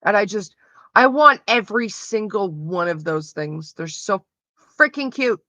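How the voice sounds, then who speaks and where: A woman's voice: mid-range voice, lively intonation, unhurried, pushed and bright, no echo. A woman with a Canadian accent chatting casually with her livestream viewers, rambling and swearing freely. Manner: rambling and profane, loose with frequent fillers.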